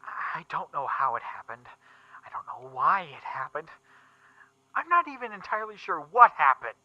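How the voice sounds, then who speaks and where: A man playing a shaken young witness recounting an occult horror in a first-person story reading, halting and emphatic, with stammers and repeats. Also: fairly high pitch, big pitch swings, dry recording. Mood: agitated, distressed. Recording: muffled recording with background music. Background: eerie background music.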